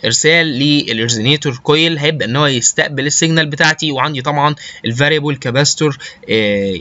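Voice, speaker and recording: A man with an Egyptian Arabic accent giving an informal slide lecture as a lecturer, mixing in English technical terms and haltingly repeating words as he searches for terms. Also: medium pitch, sharp tone, dry recording. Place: voice-over recorded over slides. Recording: dull, lo-fi voice recording.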